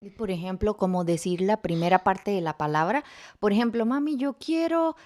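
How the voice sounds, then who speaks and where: A woman hosting a podcast interview, gently prompting her child and offering words when she gets stuck. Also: mid-range voice, lively intonation, moderate pace. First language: Spanish. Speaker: podcast host, mother.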